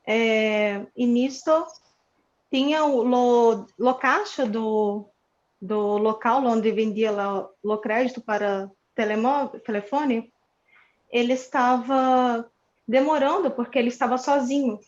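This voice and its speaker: A woman sharing a personal story slowly and earnestly at a church study. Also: on the high side, darkish tone. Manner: slow, earnest, mixing Portuguese and Spanish. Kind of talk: personal testimony. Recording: muffled lo-fi sound.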